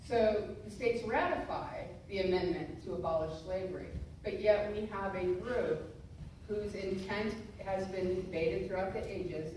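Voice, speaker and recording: A woman speaking as a federal prosecutor at a public presentation, explaining emphatically with rhetorical questions and deliberate phrasing. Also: fairly low pitch, mellow. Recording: distant, very echoey room, noisy live stream.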